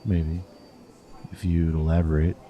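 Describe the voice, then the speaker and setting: An actor playing a gruff, wary veteran male detective with a dry, blunt manner. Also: deep voice, level tone, unhurried, highs cut off.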